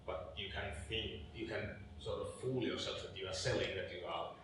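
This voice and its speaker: A man from Finland speaking as a panelist, measured and repeating words as he builds his point. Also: fairly low pitch. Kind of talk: casual panel discussion. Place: event venue with audience. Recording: echoey room, mic far off, background noise.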